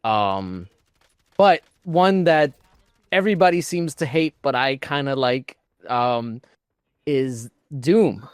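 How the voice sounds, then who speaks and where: Smooth-voiced man chatting on a podcast. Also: mid-range voice, expressive, takes it slowly, dry recording, studio quality. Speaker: podcast co-host. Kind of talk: casual panel chat.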